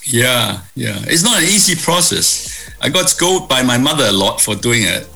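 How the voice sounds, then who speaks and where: An older man from Hong Kong with a bright but husky, gravelly voice. He talks in a measured, halting way, with fillers and restarts. Faint music plays softly behind him. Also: mid-range voice, big pitch swings, poor audio. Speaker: guest, property executive. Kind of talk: conversational interview answers.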